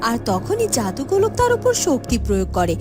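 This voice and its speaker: A woman's voice: a little high, huge pitch swings, a little fast. A woman narrating a scripted fairytale cartoon. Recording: music behind the voice.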